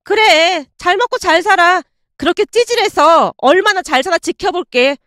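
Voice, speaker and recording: A woman's voice: very high voice, lively intonation, normal speed, a bit bright, no room sound, studio quality, voice pushed up. A woman voicing an entitled, indignant young ex-girlfriend in a dramatized story, whining and demanding. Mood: spiteful.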